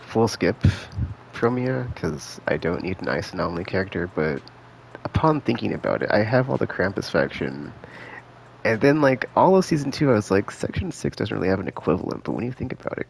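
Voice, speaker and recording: A man chatting casually on a live game stream in a laid-back, slangy, rambling way, thinking out loud, with a gravelly voice. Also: on the low side, very animated, a little fast, slight background noise, poor audio. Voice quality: gravelly, creaky.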